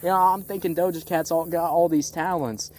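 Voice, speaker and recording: A reviewer with a dark, relaxed timbre and a mid-range pitch talks casually through opinions on music. The delivery is blunt and repetitive for emphasis, with some swearing, over faint background noise. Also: brisk. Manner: repeats phrases for emphasis, exasperated, blunt. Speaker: music critic, album reviewer.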